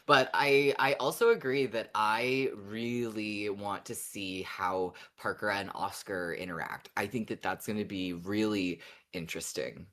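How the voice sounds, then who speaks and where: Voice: sits low, measured pace, hardly any pauses, fairly bright tone. A podcast co-host chatting casually in discussion.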